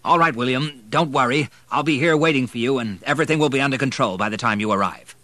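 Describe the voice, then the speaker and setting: A man voicing an older family doctor in an audiobook, avuncular, steady and familiar in a gruff way. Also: medium pitch, normal speed, no echo, voice pushed up, full character voice. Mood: reassuring. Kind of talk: audiobook character voice.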